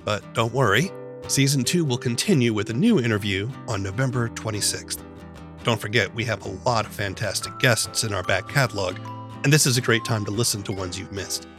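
A man's voice: on the low side, a bit bright. A man hosting a podcast, delivering an announcement.

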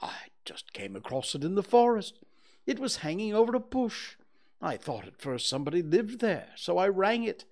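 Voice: mid-range voice, big pitch swings, moderate pace, a little mellow, voice pushed up. A storybook reader voicing a pompous, long-winded owl who acts learned and declaims things. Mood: chatty.

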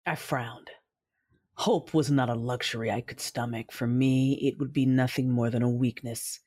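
A man narrating an audio drama in first person, cold, menacing and measured. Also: medium pitch, fairly even intonation, darkish tone, no echo, studio quality. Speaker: first-person narrator and dark side commander.

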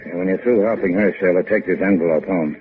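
An actor playing a tough, world-weary boat owner in a 1950s radio drama, talking in a clipped, hard-boiled way. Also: male voice, low-pitched, fast, close to an everyday voice. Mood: brisk, terse. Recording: narrow-band old 1950s radio recording.

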